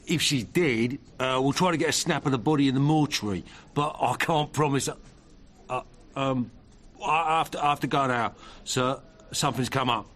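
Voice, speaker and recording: An actor playing a harried, defensive tabloid journalist in a radio drama, flustered and hesitant. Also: male voice, medium pitch, normal speed, fairly bright tone, lightly acted.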